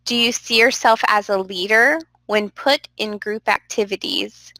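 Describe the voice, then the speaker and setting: A woman's voice: mid-range voice, a little slow, pushed and bright, dry recording, poor audio. A young adult woman teaching a virtual class, upbeat and encouraging, a little stuffy from a cold, talking into a computer microphone. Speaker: instructor. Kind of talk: online class lecture.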